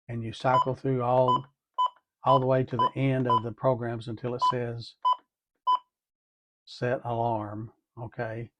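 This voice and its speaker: A man's voice: mid-range voice, heavy background noise. A man giving a methodical, hands-on tutorial, talking through each step and repeating as he goes. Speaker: DIY product demonstrator.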